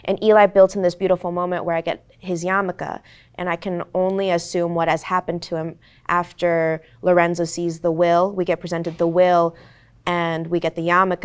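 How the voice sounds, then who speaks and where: Low, smooth-voiced young woman actor. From United States. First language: Korean. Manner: earnest, reflective, with frequent "like" and "um". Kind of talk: candid panel talk.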